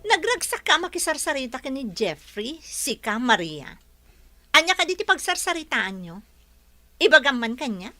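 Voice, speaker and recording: A woman playing a bossy, jealous lady of the house, sharp and demanding, in a radio drama. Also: sits high, big pitch swings, normal speed, exaggerated voice. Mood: suspicious, irritated. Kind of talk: Philippine radio drama acting.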